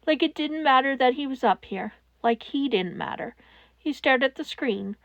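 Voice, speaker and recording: A woman reading fan fiction aloud as audiobook narration, in a slow, measured way. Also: high voice, animated, a bit bright, dry recording, lo-fi sound. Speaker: podfic reader.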